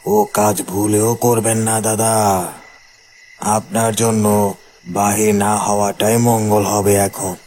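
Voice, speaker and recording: Guy doing nosy villager in a horror cartoon, real slow drawl, crickets behind him. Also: fairly low pitch, level tone, darkish tone, a little hiss or hum. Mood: warning. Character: nosy, cautioning village man addressing his guest. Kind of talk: animated horror story dialogue.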